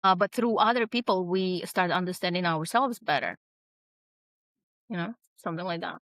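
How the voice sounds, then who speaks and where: A woman's voice: fairly low pitch, moderate pace, fairly bright tone, dry recording. Hesitant woman author, very dry sound. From abroad, immigrated around twenty-two. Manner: hesitant, many fillers and restarts. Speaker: author guest. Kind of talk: casual interview talk.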